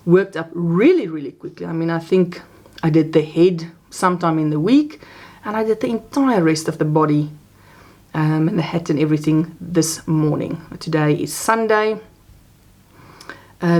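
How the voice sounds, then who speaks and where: South african lady, afrikaans first language, 40s, lowish voice, chatty crochet vlog from her little craft room. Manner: chatty, animated pitch jumps, laughs often. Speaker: crochet vlogger. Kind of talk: casual show-and-tell chat. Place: small home craft room, indoors.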